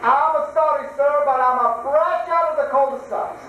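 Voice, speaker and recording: A man's voice: extremely high pitch, measured pace, a little hiss or hum. A man voicing a fussy, middle-aged café owner with a thick Italian accent who talks in broken English and keeps tagging "eh?" onto his lines. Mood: apologetic. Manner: broken English, tag "eh?", apologetic yet sly. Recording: echoey, distant, dull amateur recording.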